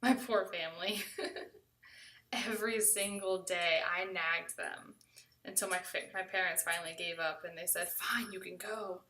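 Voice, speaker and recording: American woman, bright forward voice, conversational first-person storyteller, recorded a little away from the mic. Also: on the low side, steady tone, unhurried, recorded from a distance.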